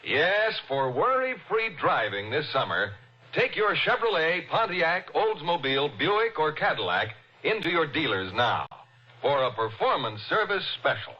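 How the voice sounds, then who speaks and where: A man announcing and reading an ad in lively, old-time radio style. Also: on the high side, unhurried, breathy edge. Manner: bright, lively ad delivery. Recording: muffled old radio broadcast with music.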